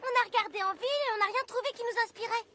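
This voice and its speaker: A woman voicing an eager, headstrong young girl in a French cartoon dub, excitable and insistent. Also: extremely high pitch, rapid-fire. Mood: determined, bossy.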